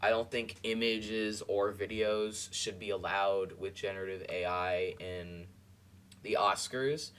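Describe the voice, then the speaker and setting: A man talks in a low voice, rambling and halting as he reacts, his sentences full of fillers and restarts. A faint hum sits under the recording. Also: fairly even intonation, a bit bright. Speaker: podcast host. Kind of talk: casual commentary over a clip.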